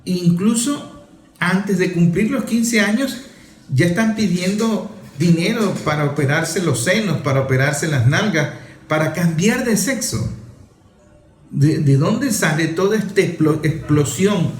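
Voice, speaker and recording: A man lectures in a fairly high voice, turning to the listener with everyday examples. He sounds like a commentator making his case. The room gives his voice a clear echo. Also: expressive, unhurried. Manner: didactic, addresses listener directly with examples. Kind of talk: explanatory monologue.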